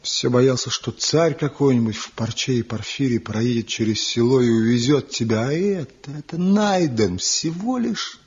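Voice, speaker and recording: A man's voice: mid-range voice, lively intonation, moderate pace, hardly any pauses, darkish tone, breathy edge. An actor in a 1970s radio play voicing a man back after seven years, impassioned and pleading. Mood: bitter. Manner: impassioned, pleading, swinging between grief and resolve. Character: man returned after seven years, passionate, tormented lover. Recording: dull lo-fi old radio recording.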